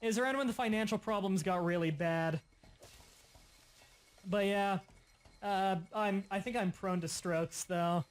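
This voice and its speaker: Man with a slight stutter. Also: sits high. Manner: stutters at times, quick explainer tone. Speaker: livestream host. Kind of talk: casual gaming stream chat.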